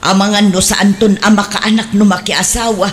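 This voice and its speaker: A woman's voice: mid-range voice, fairly even intonation, fairly quick, natural voice. Woman doing pushy older mom, mid voice, coaxing, faint hiss. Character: older mother, eager and pushy. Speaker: mother longing for grandchildren. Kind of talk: Ilocano radio drama acting.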